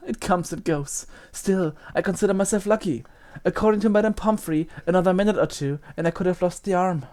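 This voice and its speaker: A woman's voice: fairly low pitch, fairly quick, a little mellow, lightly acted. A woman playing a spoiled, sneering schoolboy bully with a haughty, taunting, theatrical delivery. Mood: smug.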